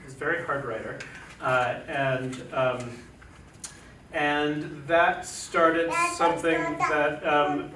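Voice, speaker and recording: A man who is a poet and translator, speaking on a panel in a relaxed, halting way with many fillers and restarts. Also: on the high side. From United States, family from Chile. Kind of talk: casual panel talk. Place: lecture room. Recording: echoey room, distant mic, noisy.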